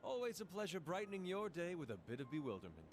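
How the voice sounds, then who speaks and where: An actor playing a flamboyant, good-humoured prince in a video game, speaking in florid, theatrical phrases. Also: male voice, high voice, sing-song, fast talker, faint music behind. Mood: playful.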